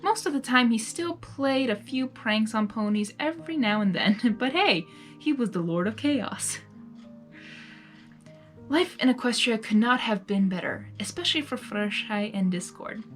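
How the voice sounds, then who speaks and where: A woman hosting and narrating a live story reading in an expressive, giggly manner, reacting aloud as she goes. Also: on the high side. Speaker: fan fiction reader and host.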